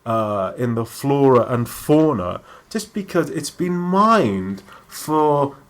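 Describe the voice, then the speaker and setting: Lively English man with animated pitch. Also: takes it slowly. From Britain. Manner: lively joking storyteller, laughs often. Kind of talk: casual chat.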